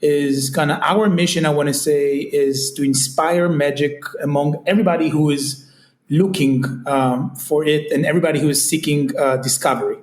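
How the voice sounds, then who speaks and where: An Israeli-accented man talks loosely through a podcast interview, his voice sitting a little higher than most men's. He gropes for phrasing and fills gaps with "kinda" and "you know". The sound is roomy and echoey. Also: steady tone, moderate pace. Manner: searching, heavy on fillers like "kinda" and "you know". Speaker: guest, retail company CEO. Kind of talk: casual interview chat.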